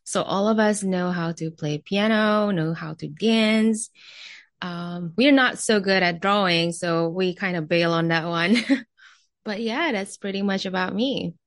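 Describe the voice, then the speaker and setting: Lowish-voiced young Indonesian-accented woman. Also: a little slow, no echo, studio quality. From Jakarta, Indonesia. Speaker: podcast guest. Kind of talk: casual interview chat, telling personal background.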